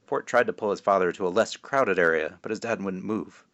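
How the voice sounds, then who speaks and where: A man with a fairly low voice reads as a children's author. His delivery is casual and conversational, moving between informal asides and storybook narration. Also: brisk, a bit bright. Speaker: children's author reading his own book.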